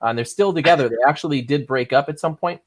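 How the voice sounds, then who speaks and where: A man hosting a casual podcast chat, relaxed and full of fillers as he reads out facts. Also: a little high, fast talker. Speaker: podcast host.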